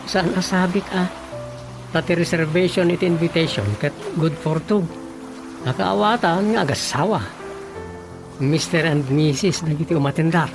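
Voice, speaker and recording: A chatty village councilman and husband in a radio drama comedy, played by a man, with a slightly high, gravelly voice. He thinks aloud and exclaims as he explains, with music playing underneath. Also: moderate pace, long pauses, close to an everyday voice. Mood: explaining, animated. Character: talkative married village councilman, musing and explaining to his wife.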